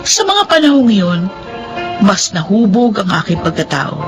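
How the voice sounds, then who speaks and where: A woman's voice: medium pitch, very animated, a little slow. An actress with a Filipino accent playing an earnest woman who recounts her life struggles in a dramatic radio narration. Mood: reflective, earnest. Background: music.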